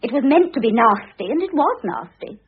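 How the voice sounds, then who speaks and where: An affronted British society wife with a high, wildly swooping voice. She is petulant and full of haughty airs, in the manner of old radio drama. The recording is thin and narrow, like a telephone. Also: sing-song, fairly quick, breathy edge, full character voice. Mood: indignant, huffy. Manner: haughty, huffy, affected airs. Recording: thin phone-like vintage radio sound.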